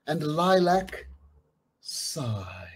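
A man's voice: a little high, very animated, extremely slow, a little hiss or hum. A middle-aged man reading picture books aloud to children, chatting casually and encouraging them to join in. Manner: encouraging, inviting listeners to join in. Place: indoors, small home room.

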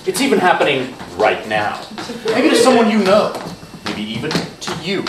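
A man playing a melodramatic true-crime TV anchor in a live comedy sketch, portentous and full of dramatic pauses. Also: sits high, big pitch swings. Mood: ominous, dramatic. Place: small theatre stage before an audience. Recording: noisy, echoey live theatre recording.

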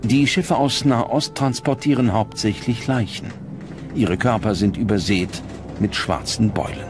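A man narrating a documentary script in a grave, measured, dramatic way. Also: fairly low pitch. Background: prominent background music.

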